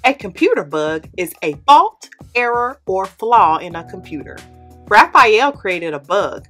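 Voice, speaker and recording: A young woman, host of the channel, reading a children's picture book aloud in an expressive, animated way. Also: mid-range voice, a little slow, soft background music. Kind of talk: read-aloud storytelling. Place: indoor studio with plain orange backdrop.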